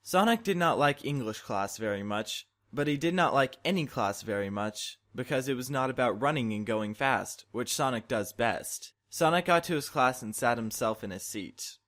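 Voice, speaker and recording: Guy reading fanfic out loud, playing up the drama like a storybook narrator. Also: medium pitch, animated, moderate pace, hardly any pauses. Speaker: fan fiction reader.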